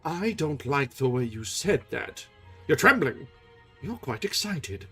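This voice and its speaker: A man voicing a furious, menacing monster of a man in a loud, snarling voice for a storybook reading. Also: medium pitch, sing-song, slow, slightly rough, soft background music, full character voice. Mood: suspicious. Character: furious menacing male monster, jealous captor.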